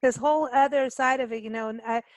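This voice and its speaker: A woman's voice: on the high side, fairly even intonation, fast talker, no echo. A woman hosting a radio interview in a casual, chatty and enthusiastic way. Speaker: radio show host.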